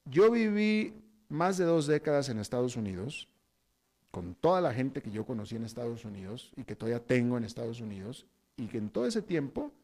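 A man's voice: mid-range voice, warm tone. A man from Mexico, hosting a news program and mixing reports with conversational, opinionated commentary full of fillers. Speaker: news host. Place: Costa Rica.

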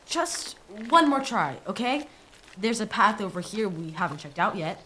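A woman playing an eager, stubborn, excitable young boy in a radio play. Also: medium pitch, moderate pace, slight background noise, low-quality recording. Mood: eager, curious.

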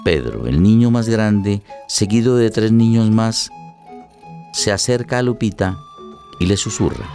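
Low-voiced male radio drama narrator. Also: animated, unhurried, vocal fry, warm tone, soft background music.

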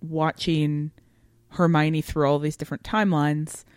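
Woman, low register, podcast co-host. Thoughtful, conversational analysis, searching for words, dry close recording. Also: little pitch movement, a little slow, a little mellow, clear, resonant tone, no echo. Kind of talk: casual analytical discussion of fiction.

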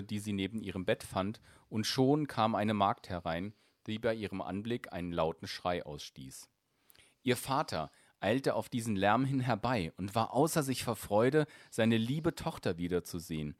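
A man calmly narrating a fairy tale in a measured storytelling voice. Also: lowish voice, no echo, studio quality.

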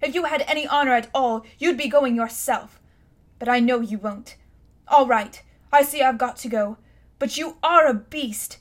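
A defiant young schoolboy, burning with anger and indignation, as a woman reads him aloud in a storybook. He speaks loudly and fiercely, his voice pitched high. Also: normal speed, lightly acted. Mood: indignant.

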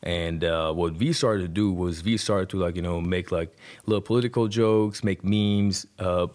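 Deep-voiced young Iranian-accented man. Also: normal speed, darkish tone. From Iran. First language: Persian. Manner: colloquial, punctuated with "like" and "you know". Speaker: podcast guest, rapper. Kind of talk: conversational personal storytelling.

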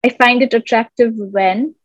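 A woman with a fairly high voice hosts a podcast, warm and encouraging and quick to praise. Her sound comes through a bit dull and lo-fi. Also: fairly even intonation, dry recording. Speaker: podcast host. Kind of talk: friendly podcast hosting.

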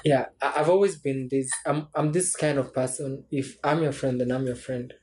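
A young man in his twenties, a podcast guest talking through his thoughts in a relaxed, reflective way with frequent restarts. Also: medium pitch, normal speed, slight background noise. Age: 20s. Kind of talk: casual chat.

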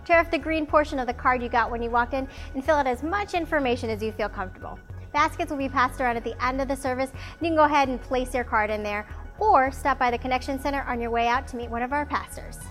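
A woman's voice: high-pitched, fairly bright tone. A woman giving a scripted welcome announcement as a church announcer. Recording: music under the voice. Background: music.

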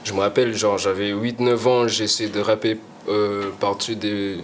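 A man's voice: fairly low pitch, little pitch movement, normal speed, a little hiss or hum. A young man, a rapper guest, chatting casually in slangy talk, often trailing off and restarting his sentences. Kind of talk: casual chat.

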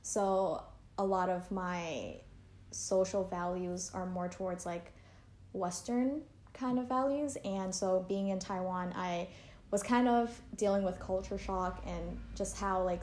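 A woman's voice: on the low side, a little slow, a little mellow. Chatty young woman in an echoey room. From Canada. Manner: chatty, many fillers and likes. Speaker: interviewee. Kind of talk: casual interview chat.

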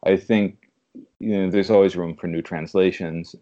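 A man speaking as a literary translator in a conversational interview, thoughtful and halting in a measured way. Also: low voice, steady tone, warm tone, muffled recording. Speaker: podcast guest, literary translator.

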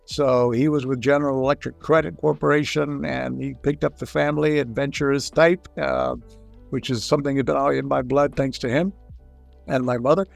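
A man's voice: medium pitch, fairly even intonation, normal speed, creaky, faint music behind. A man in his sixties from Texas who grew up in Puerto Rico, telling stories as a guest in a casual interview, with lots of fillers. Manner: chatty storyteller, lots of fillers. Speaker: guest, business founder.

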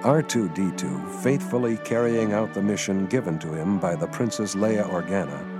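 A man narrates a radio drama in a fairly low voice. His delivery is scripted, solemn and measured, and music plays clearly behind him.

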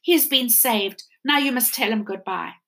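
A woman's voice: fairly high pitch, measured pace, a bit bright, slight background noise. A woman voicing a stern older male doll mender who speaks in a firm, stern way.

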